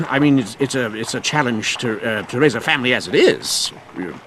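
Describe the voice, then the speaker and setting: A man's voice: mid-range voice, expressive, brisk, a bit bright, slight background noise. A man playing a shrewd, formally courteous police inspector in an old-time radio mystery, with a hesitant, old-fashioned way of talking. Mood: flustered, apologetic. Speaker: actor.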